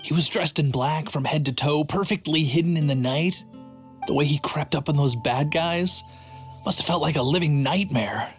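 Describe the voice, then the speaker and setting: A man's voice: on the high side, moderate pace, lightly acted. A man voicing an earnest, boastful but timid city guard in a video game, telling things with drama. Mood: dramatic. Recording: faint music behind the voice.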